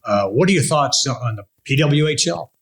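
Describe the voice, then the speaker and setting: A man hosting a casual interview, talking informally in halting phrases. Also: mid-range voice, expressive, vocal fry. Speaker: interview host.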